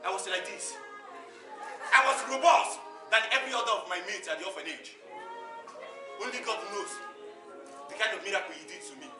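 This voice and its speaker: An actor playing an animated orphaned street hustler, telling his hard life in a theatrical stage monologue with a Nigerian accent, dramatic and emphatic, repeating phrases for effect. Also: high-pitched, a little fast, long pauses, crowd noise. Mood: dramatic recounting. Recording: echoey stage far from mic, music.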